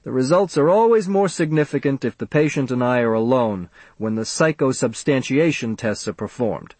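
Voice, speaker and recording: A man voicing a fat, self-important older doctor in an audiobook, with a pompous, measured, clinical manner. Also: medium pitch, expressive, a little mellow, no room sound, lightly acted. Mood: firm, lecturing.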